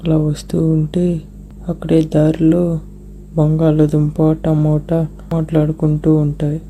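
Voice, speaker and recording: A woman's voice: low-pitched, monotone, a little slow, dark tone, a little hiss or hum. A woman narrating a scripted moral story for children.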